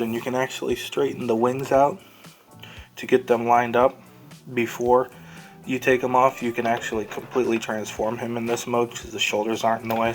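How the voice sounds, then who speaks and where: A man hosting a casual, unscripted toy review, talking in a rambling, easygoing way as he handles the item. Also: medium pitch. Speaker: toy reviewer and host of the show.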